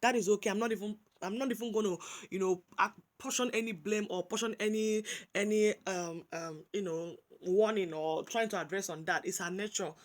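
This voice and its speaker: A Nigerian woman with a high voice, giving animated casual commentary that slides between English and Pidgin, with frequent restarts and repeated words. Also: fairly quick, few pauses. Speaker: commentary vlogger.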